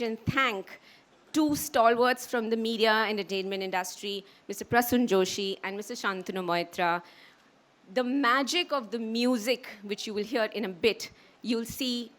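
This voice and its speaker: A woman's voice: fairly high pitch, a bit bright. A woman with an Indian accent giving a public speech as she presents a launch.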